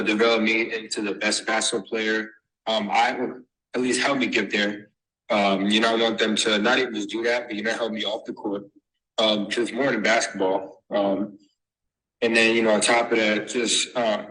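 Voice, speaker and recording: Young man, halting and filler-heavy. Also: fairly low pitch, a bit bright, poor audio. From born in Massachusetts, raised in Texas. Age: young adult. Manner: halting, many fillers and restarts. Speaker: basketball recruit. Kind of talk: casual interview answers.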